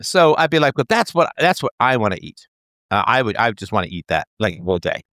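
A man's voice: medium pitch, a little fast, no echo, studio quality. A man in his thirties in a casual chat, explaining an idea playfully as if talking to a child. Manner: animated, playful, talks as if to a child.

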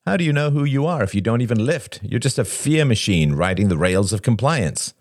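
Man acting brash older brother, audiobook character, impatient, decisive, bossy, lively swooping pitch, dry studio sound. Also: fairly quick, no room sound. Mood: boastful, teasing.

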